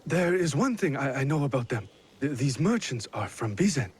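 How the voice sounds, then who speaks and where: An actor playing an anxious, deferential Japanese lord in a video game, with a breathy, husky voice and formal, stammering speech. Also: male voice, medium pitch, sing-song, normal speed, a little hiss or hum, lightly acted. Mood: flustered. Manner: stammering, formal, eager to please. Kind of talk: video game cutscene acting.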